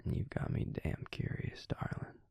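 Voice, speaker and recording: A man playing a gentle, protective vampire with a Southern US accent in an intimate audio roleplay, speaking in a breathy, gravelly, soothing half-whisper. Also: moderate pace, no room sound, clean studio sound, natural voice. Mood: wry, curious. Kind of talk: intimate scripted audio roleplay, addressing the listener.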